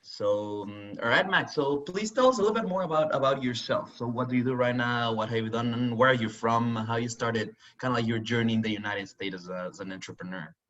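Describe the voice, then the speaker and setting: A man's voice: medium pitch, brisk, few pauses, fairly bright tone. A young man hosting a virtual interview, friendly and hesitant with lots of fillers. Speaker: host. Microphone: video call. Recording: dull lo-fi call audio.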